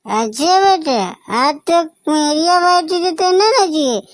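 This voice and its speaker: A man playing a brash, pushy young reveller in a comic cartoon, with a Bagheli accent and a pitched-up voice. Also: animated, slow pace, no echo, heavily acted. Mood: boisterous, taunting. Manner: brash, taunting, slangy. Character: rowdy, pushy young man set on wedding dancing.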